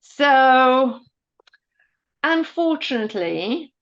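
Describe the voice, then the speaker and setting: A woman's voice: high-pitched, sing-song, very slow, fairly bright tone, dull audio. A woman economist thoughtfully answering questions in an online presentation.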